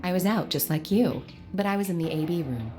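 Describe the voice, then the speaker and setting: A woman's voice: on the low side, lively intonation, fairly quick, over music, close to an everyday voice. An actress voicing an assertive, irritated woman in a visual novel game. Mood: irritated, dry. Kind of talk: video game voice acting.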